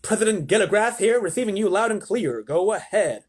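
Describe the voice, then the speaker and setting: A blustering storybook president, played by a man reading aloud, full of his own importance. His high voice leaps about excitably as he barks orders and questions. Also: a little fast, husky. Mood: alarmed, shouting. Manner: shrill, exclamatory, commanding.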